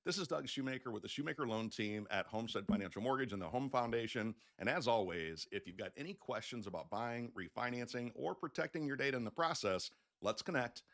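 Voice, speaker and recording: A man's voice: medium pitch, expressive, brisk, hardly any pauses, a bit bright. A man presenting an explainer to camera in a casual, conversational way with folksy phrasing, like a loan officer updating clients. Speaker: mortgage loan officer hosting an update video.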